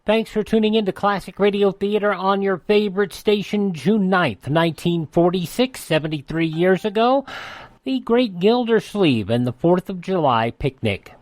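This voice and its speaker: A man's voice: high voice, normal speed, darkish tone, no echo. A man announcing a radio show with scripted narration.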